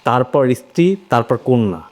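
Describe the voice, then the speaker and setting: Lively man giving opinions. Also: medium pitch, normal speed. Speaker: panelist. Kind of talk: opinionated literary discussion.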